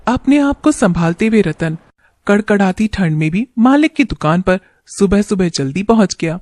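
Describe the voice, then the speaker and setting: A woman's voice: medium pitch, animated, moderate pace, a little mellow, no room sound, clean studio sound. A woman narrating a scripted moral story as a storyteller.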